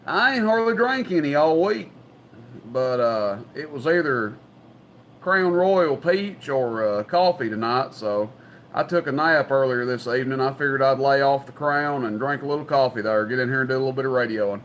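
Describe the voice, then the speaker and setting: A man's voice: medium pitch, animated, normal speed, a bit bright, slight background noise. Folksy Southern man chatting on CB. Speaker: CB radio operator and livestream host. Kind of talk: casual chat over CB radio.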